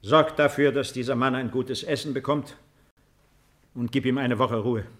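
An actor in a 1950s German radio drama playing a composed, weary old ruler with a formal, measured, authoritative manner. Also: male voice, mid-range voice, fairly even intonation, a bit bright, lightly acted. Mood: resigned, authoritative.

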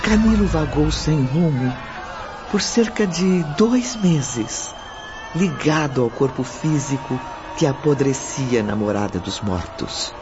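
A man narrates a radio drama in a grave, measured tone. His voice sits a little high, and music plays clearly beneath him. The recording sounds muffled. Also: expressive.

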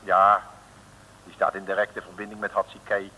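An actor playing a surly, stubborn small-time crook in an old radio serial, gruff and insolent. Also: male voice, sits low, completely flat delivery, normal speed, pushed and bright. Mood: grudging confession. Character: surly, defiant small-time male crook under interrogation. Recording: noisy old radio drama recording.

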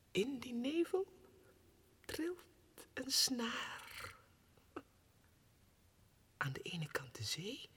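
An actor playing a tormented, desperate, childlike madman in a theatrical 1960s radio monologue that swings between hushed whispers and anguished cries. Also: fairly high pitch, husky, full character voice. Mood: hushed, dreamy. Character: tormented madman clerk, imagines himself king, desperate and childlike. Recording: reverberant hall, 1960s radio production.

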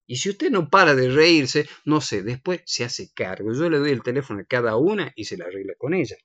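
A man's voice: mid-range voice, very animated, a little fast, fairly bright tone, no echo, lo-fi sound. A man hosting a casual radio chat in a playful, teasing manner. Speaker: radio host.

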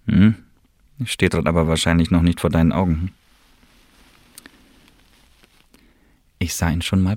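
A wary secret agent confides his story in a radio play. The voice sits low and comes out husky and breathy. He phrases deliberately and dramatically, brooding as he tells it. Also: no room sound, heavily acted. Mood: seductive, intimate. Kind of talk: German radio drama monologue, intimate storytelling.

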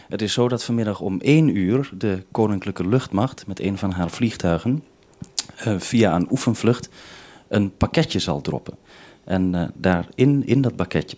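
A man's voice: low-pitched, a little mellow. A man conducting a radio interview, asking questions in a formal, somewhat hesitant way.

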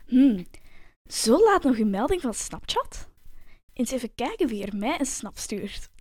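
A woman's voice: a little high, very animated, measured pace, a little mellow, no room sound. A woman voicing a naive sixteen-year-old girl in an amateur radio play. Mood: curious. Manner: chatty, naive, easily startled. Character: 16-year-old girl, trusting then frightened.

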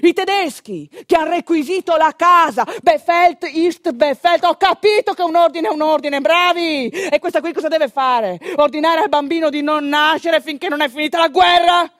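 High-voiced Italian woman storyteller, close and dry. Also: normal speed, hardly any pauses, dry recording. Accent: northeastern Italian. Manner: expressive, conversational, with rhetorical questions and asides. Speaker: stage actor and storyteller. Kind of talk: theatrical monologue storytelling.

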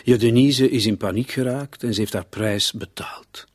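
An actor playing a shrewd, taunting police commissioner interrogating a suspect in a radio play. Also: male voice, medium pitch, moderate pace, dry recording, studio quality, natural voice. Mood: scornful, accusing. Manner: sardonic, taunting, with rhetorical tags.

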